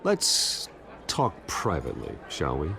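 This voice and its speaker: Brooding rich american hero in a video game, super low gravelly voice, guarded. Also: male voice, wildly swooping pitch, slow, crowd noise, noisy background, heavily acted. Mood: firm, guarded. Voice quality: deep, gravelly.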